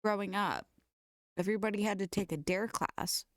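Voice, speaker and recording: An American woman talks as a podcast co-host with a medium pitch. She tells stories casually and animatedly, full of slang and cursing. The sound is dry, with no room on it. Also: moderate pace, dry recording. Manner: animated, profane, slangy storytelling. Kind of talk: casual chat, reading funny stories aloud.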